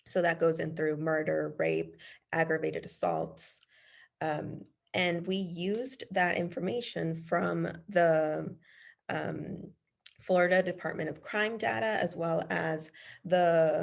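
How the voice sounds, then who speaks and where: Young woman from el salvador doing a webinar, low gravelly husky voice, lots of ums. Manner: earnest, many "um" fillers. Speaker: researcher presenting a webinar. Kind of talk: webinar presentation.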